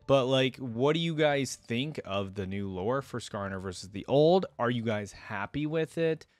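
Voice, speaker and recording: A man's voice: mid-range voice, animated, moderate pace, no room sound. A young man giving casual, informal reaction commentary, thinking aloud and reading bits out at a close desk microphone. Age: young adult. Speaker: reaction video host. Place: indoor gaming setup. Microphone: desk microphone up close, headset on.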